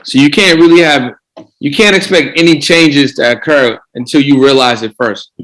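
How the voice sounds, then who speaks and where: A man's voice: fairly high pitch, normal speed, a bit bright, low-quality recording. Man coaching, repeats himself. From Boston. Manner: repeats words while gathering thoughts. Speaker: guest coach. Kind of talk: casual podcast interview.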